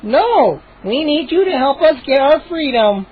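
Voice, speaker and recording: An audiobook reader voicing a small male mischief gnome who speaks slowly and solemnly with a rasp, on a muffled recording. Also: high-pitched, very animated, pitched above the performer's own voice. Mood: pleading. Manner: slow, solemn, rasping delivery. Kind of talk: audiobook character voice.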